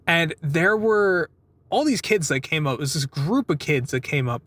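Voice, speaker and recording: A man's voice: a little high, expressive, normal speed, a bit bright, no room sound. A man in his twenties talking in a casual, rambling vlog style, telling stories informally with some swearing and acting out what people said. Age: 20s. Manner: acts out quoted exchanges. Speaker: vlogger giving a weekly update.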